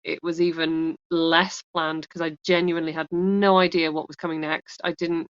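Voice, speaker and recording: Lower-pitched woman, rambling and laughing. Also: little pitch movement, normal speed, smooth, no echo, highs cut off. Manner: rambling, restarts sentences, laughs often. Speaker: author guest. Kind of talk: candid conversational interview.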